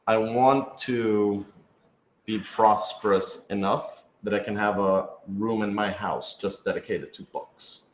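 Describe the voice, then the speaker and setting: Lower-voiced man chatting in an echoey room. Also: steady tone, slow, a little hiss or hum. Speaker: radio drama creator answering fan questions. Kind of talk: casual unscripted live-stream chat. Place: office, indoors. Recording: roomy, echoey indoor room.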